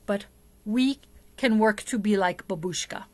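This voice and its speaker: A middle-aged woman tells a story to young children at a very slow, careful pace. Her voice sits at a medium pitch, and she speaks expressively, leaning on words for drama as a children's storyteller does. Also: no echo. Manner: deliberate, asks the listener questions, dramatic hushes and emphasis. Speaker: storyteller for a church children's program. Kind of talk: expressive picture-book reading and talk to young children. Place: small indoor setup before a plain backdrop.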